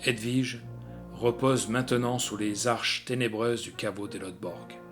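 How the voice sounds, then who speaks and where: A man narrating a literary short story in an expressive, theatrical way with deliberate phrasing. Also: medium pitch, music in the background.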